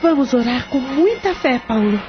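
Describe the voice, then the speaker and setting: Woman playing a calm, soothing aunt. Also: high voice, moderate pace. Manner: soothing, gentle, firm. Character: calm, caring adult aunt and sister. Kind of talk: radio drama acting. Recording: muffled lo-fi audio with music.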